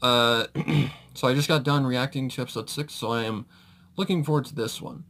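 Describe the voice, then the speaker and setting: A man's voice: mid-range voice, normal speed, a bit bright. A young man giving casual reaction commentary, rambling and hesitant as he hosts from a room indoors. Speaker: reaction video host.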